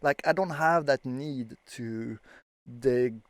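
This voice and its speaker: A man's voice: medium pitch, slow pace. A man in his thirties from France with a French accent, chatting casually as host, rambling with lots of fillers and the odd swear. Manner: rambling, filler-heavy, swears when surprised. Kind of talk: casual chat.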